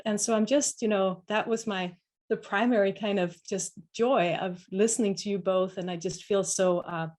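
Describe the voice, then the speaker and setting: A woman's voice: medium pitch, a little mellow. A woman from Denmark, speaking as a panelist in an online discussion, reflective and often restarting her sentences. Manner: reflective, searching for words with many restarts.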